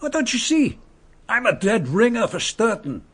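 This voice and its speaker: Sneering impostor, murderous man, gravelly, radio drama. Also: very high-pitched, very animated, moderate pace, fairly bright tone, exaggerated voice. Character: murderous male impostor, mocking and bitter. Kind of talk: vintage radio drama acting.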